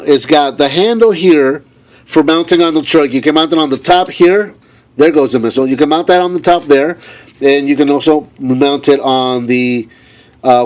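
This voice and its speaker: A man hosting an unscripted toy review, talking enthusiastically and repeating phrases for emphasis. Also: fairly high pitch. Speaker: toy reviewer, show host.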